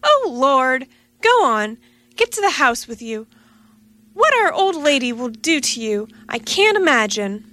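Fierce mother cat, scolding and menacing, high and sharp, read by a woman. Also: sing-song, measured pace, full character voice.

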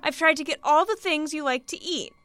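A woman's voice: lively intonation, a little slow, pushed and bright, exaggerated voice. A woman voicing a gushing, sentimental first lady who coos over the guest. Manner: high-pitched, cooing and effusive. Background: background music.

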